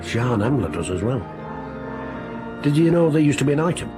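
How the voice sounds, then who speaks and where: A man's voice: medium pitch, big pitch swings, brisk, music in the background, natural voice. An actor playing an easygoing, wry male detective constable in a radio drama, informal and dry. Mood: wry, uneasy. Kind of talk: naturalistic radio drama acting.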